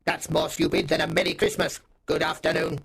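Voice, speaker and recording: A mean old skinflint from a storybook, acted out by a male reader. The voice is hard and gravelly, pitched up from a normal man's talk. He snaps curtly and with open scorn. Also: little pitch movement, a little fast, heavily acted. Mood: angry, dismissive. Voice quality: hard, creaky voice. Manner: curt, scornful snapping. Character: mean, bad-tempered old male miser.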